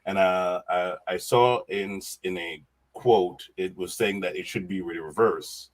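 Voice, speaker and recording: A middle-aged man from Jamaica, a guest on a podcast, talking casually about faith and his life, animated and repeating his words, often laughing. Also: deep voice, measured pace.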